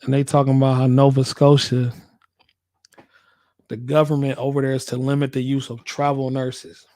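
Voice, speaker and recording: An American man hosting a casual livestream, laid-back and slangy, repeating his phrases, with a voice hoarse from a cold he is getting over. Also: medium pitch, little pitch movement, normal speed, mellow, no echo. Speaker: livestream host, working nurse.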